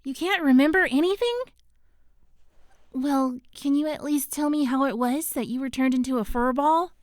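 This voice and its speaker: A woman voicing a young succubus girl in an animated series, wistful and devoted, with a melodramatic, plaintive delivery. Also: high voice, moderate pace, no room sound, studio quality, close to an everyday voice. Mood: pleading. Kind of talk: animated web series voice acting. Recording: music behind the voice.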